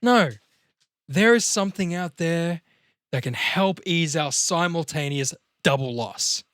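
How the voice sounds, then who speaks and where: A man's voice: fairly high pitch, animated, measured pace, fairly bright tone, clean tone, dry recording, pitched above the performer's own voice. Guy reading as a bummed out young slacker just dumped, sardonic wisecracks, table read, dry sound. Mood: upset, incredulous. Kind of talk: table read of a film comedy scene played sad.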